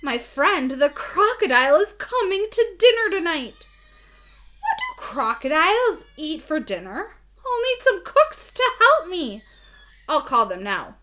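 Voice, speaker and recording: A woman voicing an excited, friendly storybook character who is planning a dinner, with emphatic, exclaiming read-aloud delivery. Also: very high voice, measured pace, voice pushed up, heavily acted.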